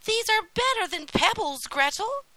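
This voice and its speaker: Lady doing a merry little storybook boy, pitched way up, excited exclaiming. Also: slow pace, no echo, heavily acted. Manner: cheerful, exclaiming. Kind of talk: storybook character acting.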